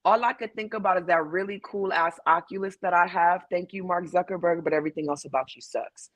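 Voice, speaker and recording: A woman's voice: on the low side, steady tone, fairly quick, a bit bright, no echo. Woman panel guest, casual, emphatic and profane, dry close sound. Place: home in Hawaii.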